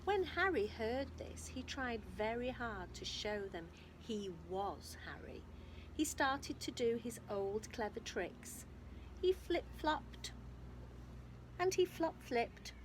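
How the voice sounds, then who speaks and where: A woman reads a children's story in a slightly high, very animated voice. She is expressive and emphatic, addressing young listeners directly. There is clear background noise on the recording. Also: slow pace. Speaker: infant school storyteller. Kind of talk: reading a picture book aloud to young children, with asides.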